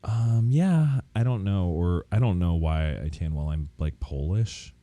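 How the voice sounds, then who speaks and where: A man's voice: sits low, animated, measured pace, warm tone, dry recording. A man chatting casually and candidly, with campy joking slang and a self-deprecating streak. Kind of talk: casual podcast chat.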